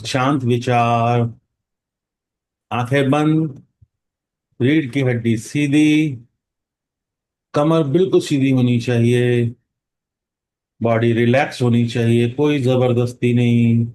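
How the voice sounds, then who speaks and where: Very slow, mellow male meditation guide. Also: mid-range voice. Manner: calm, unhurried, instructing with long pauses and drawn-out words. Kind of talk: guided meditation in Hindi mixed with English phrases.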